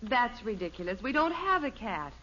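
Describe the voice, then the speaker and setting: A patient American mother in a 1950s radio sitcom. Her voice is fairly high and melodic, soothing yet gently firm, on a dull old recording. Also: big pitch swings, moderate pace, slight background noise. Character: patient, reassuring middle-class mother.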